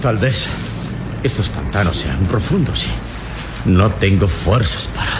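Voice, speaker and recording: Wounded, resolute adventure hero, Latin American Spanish, very low and slow, labored and anguished, old radio serial under heavy noise. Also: male voice, very animated. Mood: weary, determined. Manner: labored, groaning, halting delivery with pained pauses. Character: heroic adventurer, wounded and anguished, gallant and determined.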